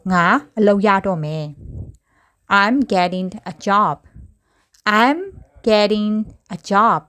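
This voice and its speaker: Woman language teacher, measured drill delivery, mid-pitched voice, each phrase said slowly and repeated. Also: a bit bright, clean tone. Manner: slow, deliberate, word-by-word repetition. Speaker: English teacher.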